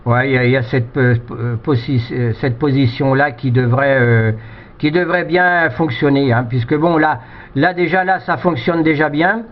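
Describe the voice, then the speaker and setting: A man's voice: medium pitch, normal speed, a little hiss or hum. A man chatting casually as an amateur radio operator, giving technical advice and often repeating words as he hesitates. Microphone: two-way radio microphone. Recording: dull, lo-fi radio transmission.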